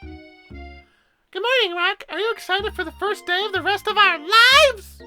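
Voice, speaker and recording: A let's-play host voicing an adorably awkward, nervous young woman in a dating game, in a high, squeaky put-on voice. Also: unhurried, bright, forceful tone, faint music behind, heavily acted. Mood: nervous, fretful. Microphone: headset.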